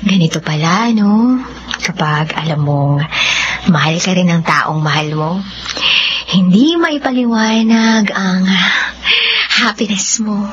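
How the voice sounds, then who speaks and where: A woman's voice: mid-range voice, animated, takes it slowly, long pauses, slight background noise. A woman acting a tender, fearful young sweetheart in a romantic radio drama, hesitant and emotional. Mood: happy, tender. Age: young adult. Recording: dull lo-fi audio with music.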